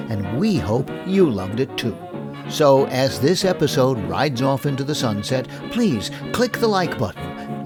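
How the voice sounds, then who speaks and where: A man hosting and reading a scripted closing announcement as the director of an audio drama production. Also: medium pitch. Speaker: host and director. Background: loud music.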